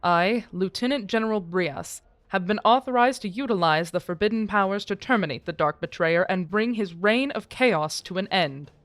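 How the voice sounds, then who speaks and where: A woman's voice: mid-range voice, moderate pace, few pauses, dry recording, natural voice. A woman playing a stern, self-righteous military commander, making a formal, pompous public proclamation in an audio drama. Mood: resolute, threatening.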